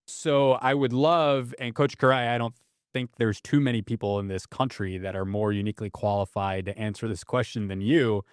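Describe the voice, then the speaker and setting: A man's voice: on the low side, normal speed, smooth, dry recording, studio quality. A man on a TV interview, telling a lighthearted personal story before asking a question. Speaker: boys volleyball advocate.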